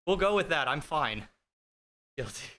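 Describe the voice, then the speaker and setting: Thirties male streamer, a bit high and bright, joking casually with chat over a desk mic. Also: very animated, a little slow. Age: 30s. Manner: joking, self-deprecating, chatty; talks while riding an indoor bike. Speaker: fitness streamer. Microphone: streaming desk mic with noise gate.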